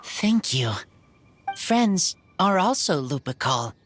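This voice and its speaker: A slow-spoken, earnest wild boy. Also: lowish voice, sing-song, soft background music. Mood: heartfelt. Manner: halting, simple short phrases. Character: earnest young wolf-raised boy, simple and loyal. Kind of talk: video game voice acting.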